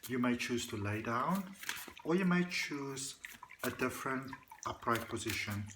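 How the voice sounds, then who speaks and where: A man leading a guided meditation, speaking to the listener in a deliberate, soothing way with repeated phrases. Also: mid-range voice, animated, noisy background. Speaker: guided meditation practitioner and host.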